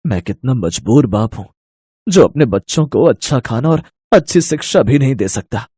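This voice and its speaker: A man's voice: mid-range voice, lively intonation, moderate pace, darkish tone, husky, no room sound, studio quality. An actor playing a helpless, poor labourer father, speaking in a pleading, sorrowful way in a story.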